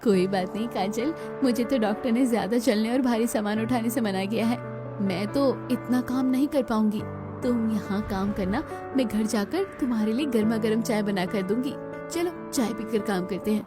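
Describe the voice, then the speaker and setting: Lady doing a sweet dutiful young bahu, gentle n caring, bit high, music behind, cartoon tale. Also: moderate pace, close to an everyday voice. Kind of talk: Hindi cartoon story dialogue.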